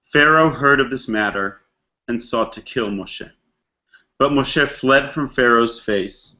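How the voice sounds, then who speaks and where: A man's voice: on the low side, bright, forceful tone, highs cut off. A man giving a conversational scripture lesson as a rabbi, telling the story reflectively and posing rhetorical questions. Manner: dramatizes characters' thoughts in casual modern slang. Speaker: rabbi teaching a text study class.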